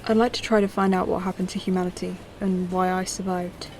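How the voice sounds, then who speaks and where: A woman's voice: fairly low pitch, little pitch movement, fairly quick, darkish tone, slight background noise, natural voice. A woman playing a plucky, plain-spoken young spacefarer in a science-fiction audio drama, direct and understated. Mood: hopeful.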